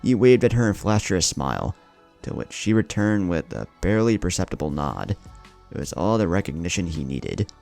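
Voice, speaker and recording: A man with a very low, creaky voice delivers dramatic story narration. His delivery swings widely in pitch, and music plays underneath. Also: big pitch swings, normal speed, darkish tone. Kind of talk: dramatic scripted narration of fan fiction.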